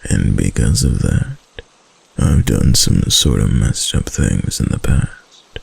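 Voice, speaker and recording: A man voicing a doting, obsessive kitsune husband in an intimate ASMR roleplay, breathy and gravelly, with hesitant, coaxing endearments. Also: a bass voice, sing-song, takes it slowly, exaggerated voice. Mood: tender, obsessive. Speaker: voice actor in a roleplay.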